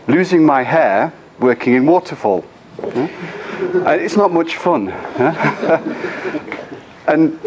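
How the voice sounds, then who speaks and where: A man's voice: fairly high pitch, slight background noise. A middle-aged man from England with an English accent, giving a conference talk and often checking in with the audience. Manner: engaging, asks the audience frequent questions. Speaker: conference speaker. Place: conference room.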